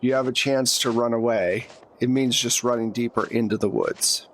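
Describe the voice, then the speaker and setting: A man's voice: mid-range voice, steady tone, moderate pace, fairly bright tone, slight background noise. A man narrating a tabletop roleplaying game as game master, describing scenes and putting questions to players. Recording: music behind the voice.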